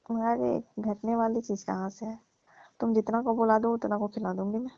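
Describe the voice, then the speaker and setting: A woman's voice: a little high, fairly even intonation, moderate pace, vocal fry, mellow, no room sound. A woman playing a needy, wary middle-aged divorced mother in a casual phone conversation, talking hesitantly and colloquially with a complaining note. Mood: agreeable. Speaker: actor in a staged phone call skit. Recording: muffled lo-fi phone call recording.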